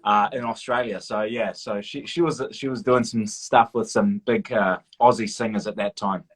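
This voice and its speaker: A man with a slightly low voice talks as a guest in a casual interview. He is modest and informal, hesitating as he works out what to say. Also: steady tone, moderate pace, slightly rough. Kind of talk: casual chat.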